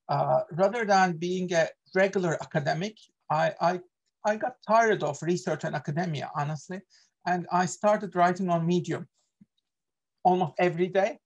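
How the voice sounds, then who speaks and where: A middle-aged man from Turkey with a Turkish accent, running an online workshop in an earnest way, with frequent fillers and self-corrections, heard over a video call. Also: a little high. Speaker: workshop presenter, associate professor.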